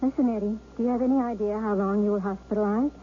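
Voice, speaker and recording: An actress playing a patient, loving wife who speaks gently and pleads with her husband. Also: mid-range voice, steady tone, quick pace, close to an everyday voice. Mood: pleading. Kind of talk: 1970s radio drama acting. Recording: tinny old radio broadcast with hiss.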